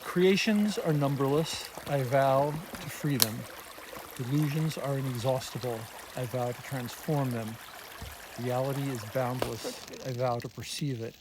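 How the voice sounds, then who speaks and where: A man reciting short, formal vows in a measured series of statements. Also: medium pitch, noisy background. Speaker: friend reciting Buddhist vows.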